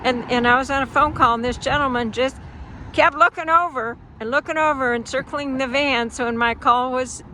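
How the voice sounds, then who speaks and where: A woman's voice: sits high, moderate pace, pushed and bright, slight background noise. A woman hosting a travel vlog, chatting enthusiastically with people she meets outdoors. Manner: enthusiastic and exclamatory. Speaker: travel vlogger and host. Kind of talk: casual interview. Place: outdoors by her van. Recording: background music under outdoor talk.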